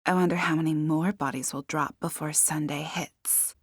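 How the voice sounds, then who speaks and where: A woman's voice: mid-range voice, lively intonation, slow, fairly bright tone, no echo, studio quality, pitched above the performer's own voice. A woman voicing a sardonic, cynical woman in an audiobook mystery, mocking and unbothered. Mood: grimly cynical.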